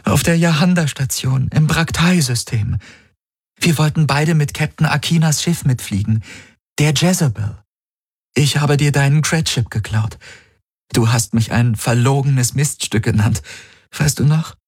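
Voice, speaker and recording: A man's voice: mid-range voice, a little slow, breathy edge, no room sound, studio quality, pitched above the performer's own voice. A man voicing a tearful alien woman who urgently pleads with someone to remember her. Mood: urgent pleading.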